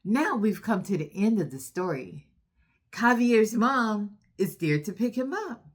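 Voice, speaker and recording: A woman's voice: mid-range voice, very animated, a little slow, breathy edge. A woman hosting a storytime for young children, recapping a story in an encouraging, instructive way and putting questions to her young listeners.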